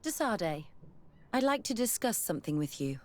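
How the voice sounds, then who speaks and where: An actress playing a determined, wary scientist-explorer in a fantasy game, earnest and articulate. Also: female voice, mid-range voice, sing-song, moderate pace, natural voice. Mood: composed. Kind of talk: scripted game cutscene acting.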